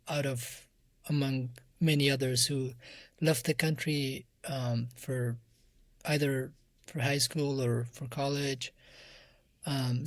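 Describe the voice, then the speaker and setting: A man with an Afghan accent speaks softly at a medium pitch. He answers as an interview guest in a reflective style. The sound is dry, with hardly any room in it. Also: no room sound. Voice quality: soft voice. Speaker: interview guest, musician and music teacher.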